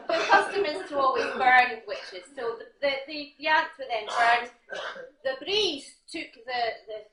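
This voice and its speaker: A woman with a Scottish accent and a high-pitched voice tells a comic tall tale on stage. She talks straight to the audience, pausing and correcting herself. The theatre gives her voice a roomy echo. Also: pushed and bright. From Scotland. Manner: halting, punning, addresses the audience directly. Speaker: competition storyteller. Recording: roomy, echoing theatre recording.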